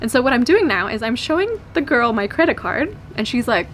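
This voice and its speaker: Young american gal vlogging, bit high voice, giggly and chatty, faint rumble behind. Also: female voice, brisk. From California. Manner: chatty, giggly, lively storytelling. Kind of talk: casual chat to camera.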